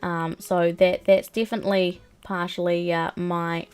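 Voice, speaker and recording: Slow-talking New woman with a cold. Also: fairly low pitch, flat delivery, darkish tone, smooth, soft background music. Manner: rambling and chatty, full of ums and likes. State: has a cold, a bit sniffly. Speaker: live streamer. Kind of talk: casual chat while playing a video game.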